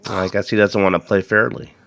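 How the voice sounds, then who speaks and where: A man's voice: lowish voice, steady tone, fairly quick, creaky, fairly bright tone. A man on a ghost hunt asking the spirits direct questions and joking with his team. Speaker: paranormal investigator.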